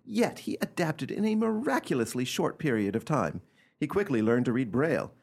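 Man acting animated, husky gentleman storyteller. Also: medium pitch, normal speed. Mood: reflective, admiring. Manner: theatrical, storytelling, emphatic. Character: eccentric gentleman nephew recounting uncanny family tale. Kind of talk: radio drama acting.

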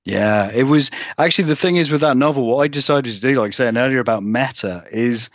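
A man's voice: medium pitch, brisk, no echo. A man chatting casually as an interviewed author, rambling and self-deprecating, with many hedges as he goes. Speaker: guest author being interviewed.

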